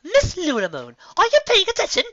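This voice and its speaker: A woman's voice: wildly swooping pitch, a little fast, a bit bright, dry recording, lo-fi sound, exaggerated voice. A woman voicing a fussy, prim female pony who speaks theatrically and is easily appalled. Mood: indignant. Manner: theatrical, shrill outbursts, ranting primly.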